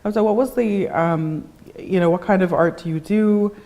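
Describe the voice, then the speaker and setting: A woman's voice: mid-range voice, moderate pace, warm tone. A woman hosting a talk show, asking guests questions in a loose, rambling way with frequent fillers. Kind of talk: casual interview hosting.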